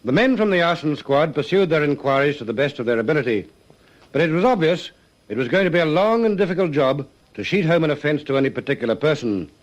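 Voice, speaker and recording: An actor playing the narrator of a radio detective drama, dramatic and scripted. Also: male voice, on the high side, lively intonation, fast talker, highs cut off, natural voice. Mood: grave, suspenseful. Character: brisk, dramatic male crime drama narrator.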